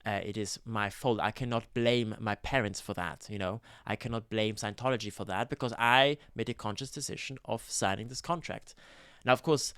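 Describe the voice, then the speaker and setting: A man, telling his own story in a gentle, campy vlog, with hesitant fillers. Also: on the low side, clean tone, no room sound, studio quality. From Switzerland. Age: 30s. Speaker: YouTuber, ex-Scientologist. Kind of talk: personal testimony vlog.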